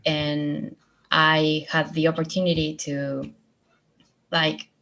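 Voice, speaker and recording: A woman in her twenties speaking on a video call panel in a halting way, correcting herself as she goes. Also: low-pitched, gravelly. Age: 20s. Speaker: student panelist. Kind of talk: casual chat.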